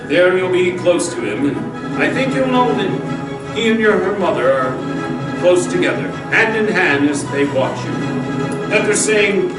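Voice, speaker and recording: A man playing a noble, fatherly cowboy hero with earnest, theatrical solemnity in an old-time radio drama. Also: high-pitched. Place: live stage radio show in a hall. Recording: echoey hall from far off, loud music behind.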